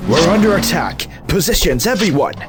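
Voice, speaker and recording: A man's voice: sits low, lively intonation, a little slow, loud music behind the voice. A man playing an earnest, plain-spoken robot squad leader in an audio drama. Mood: urgent. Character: earnest, principled robot hero leading a squad.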